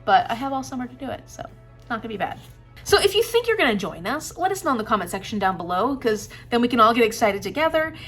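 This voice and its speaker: A woman's voice: on the high side, big pitch swings, quick pace, a bit bright, soft background music. A young woman chatting casually in an upbeat, enthusiastic vlog, laughing often. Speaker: booktuber.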